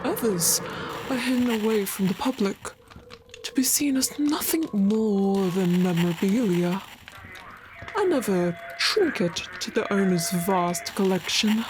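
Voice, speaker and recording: A woman performing a solemn, brooding, devoted servant searching for secrets, in a measured scripted monologue. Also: medium pitch, lightly acted. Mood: brooding, resolute. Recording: clearly noisy with clicks.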